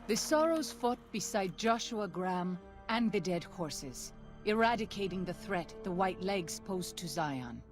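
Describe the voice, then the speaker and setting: A woman's voice: on the low side, expressive, a little slow. Woman narrating a somber epilogue. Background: game music.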